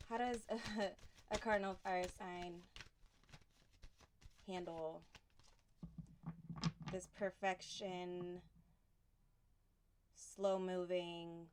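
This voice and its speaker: A woman from Houston giving a casual tarot reading, soft and unhurried, pausing often, a little sniffly from allergies. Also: fairly low pitch, steady tone, background noise. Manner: soft, unhurried, reflective delivery with pauses. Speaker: tarot reader.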